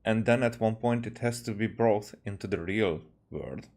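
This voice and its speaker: A man's voice: fairly low pitch, normal speed, a little mellow. A man giving casual game commentary as a streamer, hesitant and searching for his words as he explains.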